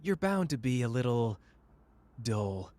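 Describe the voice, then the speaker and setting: A man's voice: medium pitch, big pitch swings, unhurried, a little mellow. A man playing a cocky, flamboyant young sorcerer who teases the listener in a playful, smug roleplay audio drama. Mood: smug, teasing. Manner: playful, smug, drawling teasing.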